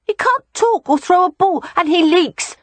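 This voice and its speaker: A woman performs an eager four-year-old boy for an audiobook. The voice sits far above an adult register and moves in lively swoops. He is earnest and stubborn, coaxing one moment and complaining the next. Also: very high voice, unhurried, no room sound, pitched above the performer's own voice. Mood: plaintive. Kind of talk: audiobook character voice.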